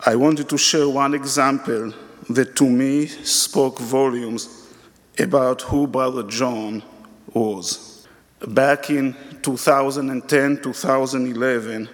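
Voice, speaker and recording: A man delivering a prepared, earnest memorial tribute at a church service. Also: medium pitch. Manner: slow, earnest and measured. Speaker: church member giving a eulogy. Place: church sanctuary. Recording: reverberant hall.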